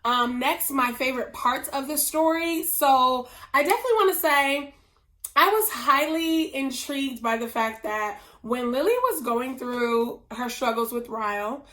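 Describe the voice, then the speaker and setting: A woman hosting a book review vlog, losing her voice and sounding hoarse, gushing with slow drawn-out emphasis. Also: on the high side, a bit bright. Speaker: book reviewer, channel host. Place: indoors at home, whiteboard nearby.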